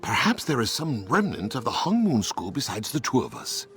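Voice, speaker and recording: A man's voice: mid-range voice, very animated, fairly bright tone, faint music behind. A man playing a solemn, careworn martial arts mentor in a video game, grave and thoughtful. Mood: somber, reflective. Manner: grave, thoughtful, measured phrasing. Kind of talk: video game character acting.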